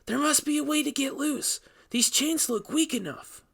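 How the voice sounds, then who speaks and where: A man's voice: extremely high pitch, normal speed, creaky, a bit bright, voice pushed up, exaggerated voice. A man voicing a determined female assistant to an investigator, speaking with intense urgency in an audio story.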